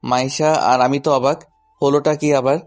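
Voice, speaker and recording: Casting: male narrator and lead for a first-person romance audio story, mid-pitched voice, music bed. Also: flat delivery, moderate pace.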